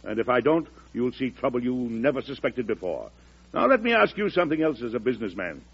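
A firm, old-fashioned president in a vintage radio drama. He has a husky, mid-pitched voice that rises and drops emphatically as he presses his point. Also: big pitch swings. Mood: firm, probing. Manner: deliberate, hesitant starts, probing questions, forceful conviction. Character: firm, plain-spoken, principled old-fashioned male American president. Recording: muffled vintage radio recording.

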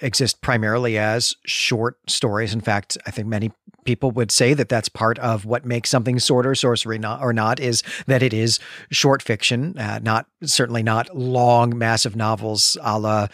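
A man's voice: medium pitch, steady tone, moderate pace, a bit bright, dry recording, clean studio sound. A middle-aged man hosting a podcast, talking casually about books in a digressive, self-correcting, enthusiastic way. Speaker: podcast host. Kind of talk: casual literary discussion.

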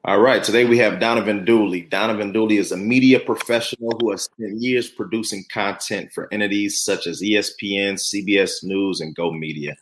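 Male interview host, forties, lowish voice, conversational delivery. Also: steady tone, a little fast, hardly any pauses. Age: 40s.

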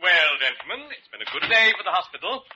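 An actor playing a brisk, hearty male hospital boss in a radio drama, declaiming. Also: sits high, big pitch swings, noisy background. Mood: hearty, approving. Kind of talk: 1940s-50s radio drama acting. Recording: muffled vintage radio recording.

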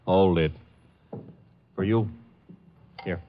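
An American actor playing a wisecracking detective's assistant, sardonic and slangy, in a 1950s radio drama. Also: male voice, sits low, drawn-out words, slight background noise. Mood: irritated, brisk. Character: wisecracking, put-upon American private detective's legman, male. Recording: muffled vintage 1950 radio broadcast.

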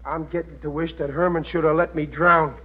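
A man's voice: a little high, fairly even intonation, fairly quick, slightly rough. An actor playing a bumbling, grumbling working-class father in a vintage radio comedy, with folksy, ungrammatical speech. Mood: bossy, grumbling. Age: middle-aged. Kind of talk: 1940s-50s radio comedy acting. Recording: muffled, noisy old radio recording.

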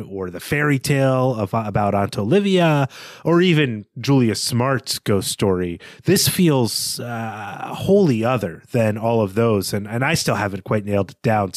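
A man's voice: mid-range voice, no echo, clean studio sound. A man co-hosting a podcast, talking through a book in a reflective, analytical and somewhat tentative conversational style. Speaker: podcast co-host.

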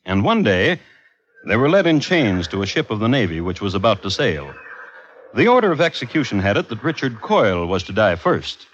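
Dry crime historian host, lowish animated voice, scripted vintage radio narration, dull recording. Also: male voice, brisk. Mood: dry, wry.

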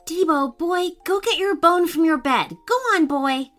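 A woman's voice: extremely high pitch, a little slow, a bit bright, faint music behind, voice pushed up. A woman voicing a brave young man in a children's story, speaking firmly but politely. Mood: coaxing. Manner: firm and polite.

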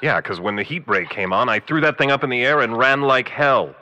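A man's voice: medium pitch, brisk, sharp tone, big-room sound, dull audio, lightly acted. A man playing a brash radio reporter in a comedy radio play. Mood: defensive. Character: male radio reporter hiding in a cellar. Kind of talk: comic radio drama acting.

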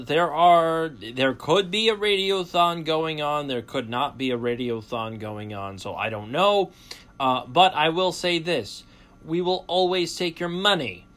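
A man's voice: mid-range voice, fairly bright tone. A man hosting a college radio talk show, delivering a boastful, sarcastic opinion monologue. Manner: boastful, sarcastic, self-mocking.

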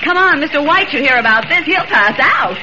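A woman's voice: high-pitched, animated, fairly quick, music in the background. An actress playing a teasing, breezy young woman reporter in a theatrically sarcastic 1940s radio style. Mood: mock astonishment. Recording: noisy, muffled vintage radio recording.

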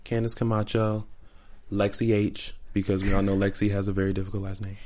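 A man's voice: lowish voice, completely flat delivery, a little fast, dark tone. Rambling, jokey male co-host. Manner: rambling, jokey, hesitant with ums. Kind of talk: casual panel chat.

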